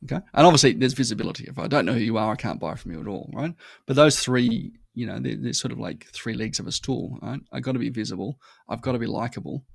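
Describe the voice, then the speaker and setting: A man's voice: mid-range voice, fast, vocal fry, few pauses, breathy edge, no echo, studio quality. A man talking casually as an interview guest, emphatic, often checking in with a short "right?" after his points. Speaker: podcast guest. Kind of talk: casual conversational interview talk.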